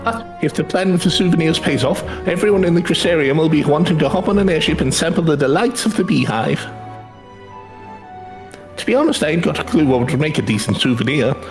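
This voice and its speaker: An eager young British lad in a video game, high-voiced and very animated. He chatters in cheeky, colloquial speech, brimming with enthusiasm, over a light music bed. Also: fairly quick. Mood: proud, chatty. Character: eager, cheeky young man, excitable hired helper.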